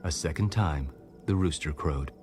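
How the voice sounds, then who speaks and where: A man narrating scripture in a measured, solemn audio Bible style. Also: extremely low pitch, a little mellow. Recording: music bed behind narration.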